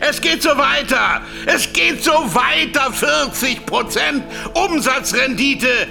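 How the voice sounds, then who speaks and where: An actor playing a frantic, defiant executive at gunpoint in a radio play, ranting in a strained shout. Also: male voice, extremely high pitch, lively intonation, slow, creaky, exaggerated voice. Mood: frantic, enraged. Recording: music playing behind the voice.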